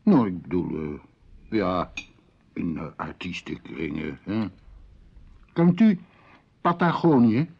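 An actor in a Dutch radio drama playing an intrusive, flattering musician who is a dinner guest with secret desires. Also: male voice, low voice, slow pace, pauses often, full character voice. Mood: insinuating. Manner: flattering, florid, provocative. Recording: muffled, noisy old radio play recording.

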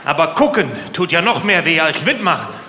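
High-pitched, animated male stand-up comedian. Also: brisk. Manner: exaggerated indignation, rhetorical questions to the audience. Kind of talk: stand-up comedy routine with rants. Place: large indoor live comedy venue. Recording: echoey hall, noisy live audience.

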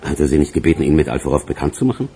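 A man's voice: very deep voice, sing-song, very fast, warm tone, slight background noise, heavily acted. An actor playing a condescending veteran police investigator in a German radio crime drama, formal and pedantic as he interrogates. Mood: formal probing.

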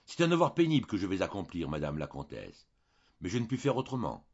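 A man's voice: low voice, huge pitch swings, brisk, darkish tone, husky, lo-fi sound, exaggerated voice. A man voicing a stern, fanatical priest who accuses and commands with vehement, moralizing rhetoric. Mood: grave, solemn.

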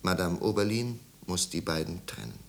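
A man's voice: sits low, big pitch swings, normal speed, gravelly, full character voice. A man narrating a literary tragedy in a 1950s radio play, grave and intense, with careful articulation. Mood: mounting tension.

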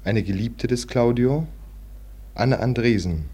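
A man's voice: medium pitch, takes it slowly, dark tone, breathy edge. A man making a formal cast announcement on vintage German radio, enunciating precisely.

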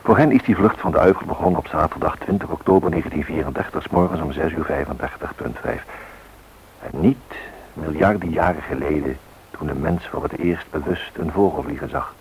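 A man's voice: rumbling low, fairly quick, rough recording. A man acting as a reflective, brooding narrator in a scripted radio drama, on a hissy old recording. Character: brooding, reflective male narrator reciting history and myth.

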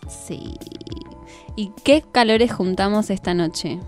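A woman's voice: medium pitch, slow. An Argentine woman in her twenties hosting a radio show, talking conversationally with poetic flourishes. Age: 20s. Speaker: radio host. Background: music.

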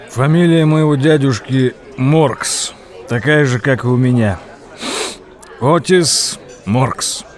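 An actor playing a wry man who tells tall tales in an audio play, theatrical and deliberate, with dramatic pauses. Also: mid-range voice. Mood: relaxed, convivial. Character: wry male raconteur telling tall tales over drinks. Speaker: narrator. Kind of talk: dramatized first-person storytelling in an audio play. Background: background music.

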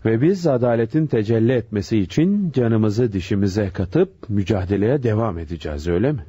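A passionate junior police detective in a radio play. His mellow, husky voice swings widely in pitch with theatrical emphasis. The recording is dull and dry. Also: expressive, brisk, no room sound. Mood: bitter indignation. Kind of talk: Turkish radio drama acting.

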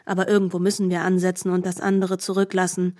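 An actress playing a wry, scholarly co-narrator who comments on the tale in a literary radio play. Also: female voice, lowish voice, completely flat delivery, fast talker, a little mellow, close to an everyday voice.

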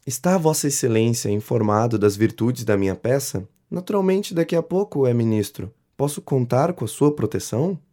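An actor playing a pompous, flattering inventor who petitions a politician, grandiloquent and effusive in a period comedy audio drama. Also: male voice, medium pitch, normal speed, hardly any pauses, close to an everyday voice. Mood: ingratiating, boastful. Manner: grandiloquent, effusive, theatrically indignant. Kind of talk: audio drama acting of a 19th-century comedy.

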